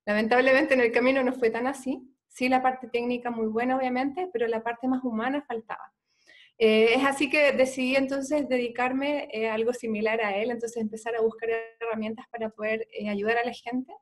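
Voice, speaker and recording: Chilean woman, doctor guest, earnest storytelling, fairly high voice, lots of "eh" fillers. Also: fairly even intonation, a little fast. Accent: Chilean Spanish. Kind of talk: conversational storytelling interview.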